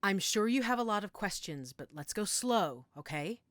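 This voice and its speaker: A woman playing a middle-aged motherly healer in an audio roleplay, gentle, reassuring and coaxing. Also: mid-range voice, lively intonation, moderate pace, no room sound, clean studio sound, close to an everyday voice. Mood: soothing. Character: calm motherly werewolf-den healer named Judith, tending a patient.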